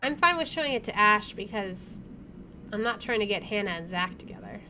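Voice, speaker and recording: A woman hosting a gaming video, reading visual novel narration aloud in an expressive way. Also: medium pitch, fairly quick, slight background noise, rough recording. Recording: faint background game ambience.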